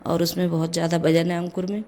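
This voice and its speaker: A middle-aged mother with a hoarse voice from a cold, chatting through a casual home vlog as she cooks breakfast and goes on with her housework, often saying "jaise" between her words. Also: fairly low pitch, flat delivery, quick pace, warm tone, slight background noise. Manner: chatty, leans on the filler "jaise". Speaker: vlogger, mother. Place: home kitchen.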